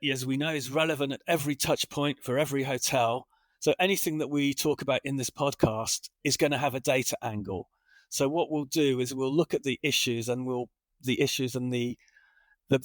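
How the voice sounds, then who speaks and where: A man hosting a business podcast at a middle pitch, talking in a loose, chatty way and circling back on his own phrases. The sound is dry and close, with no room echo. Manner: chatty, repeats himself while framing questions. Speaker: podcast host. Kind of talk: corporate podcast interview.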